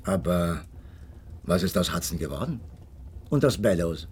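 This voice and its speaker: A man's voice: low-pitched, expressive, measured pace, a little mellow, a little hiss or hum. A man acting the earnest, inquisitive companion of a detective in a radio drama, pondering and questioning. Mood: curious.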